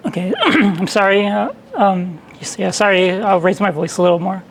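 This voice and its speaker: A man with a hoarse, gravelly voice presents a technical talk at a meetup. He stumbles through restarts and repeats himself as he goes, and there is a faint hiss behind him. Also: sits high, animated, poor audio. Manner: halting, many restarts and repeated words.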